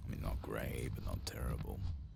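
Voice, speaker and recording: A man softly narrates his video game in an ASMR style. His voice is extremely deep and heavily creaky, with understated, muttered remarks. Loud noise and game ambience sit behind him. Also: a little fast. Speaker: streamer playing a video game. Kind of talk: soft-spoken ASMR game commentary.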